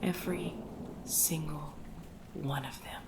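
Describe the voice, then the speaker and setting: A woman voicing a commanding female vampire clan leader, possessive and tender, in a dark, solemn ASMR roleplay, often dropping into a whisper. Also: low voice, steady tone, slow, vocal fry, noisy background, full character voice. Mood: remorseful, vowing.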